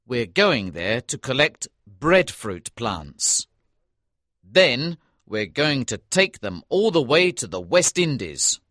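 An earnest young naval officer from a storybook as a woman reader plays him. He talks slowly and dutifully, in a lowered voice with a bright, forceful edge and lively swings in pitch. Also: no echo, studio quality, exaggerated voice. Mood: friendly, explaining. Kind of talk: audiobook character dialogue.

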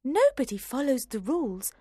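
A curious young storybook girl, high and lilting. She speaks slowly and politely but says what she thinks, in a dry recording. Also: big pitch swings, warm tone, slightly rough, no echo, heavily acted. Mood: puzzled. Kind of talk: storybook character voice for learners.

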